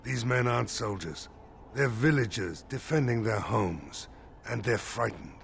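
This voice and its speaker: An actor playing a weary, gruff village militia leader in a video game, plain-spoken and grim. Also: male voice, on the low side, animated, measured pace, a bit bright, slight background noise, exaggerated voice.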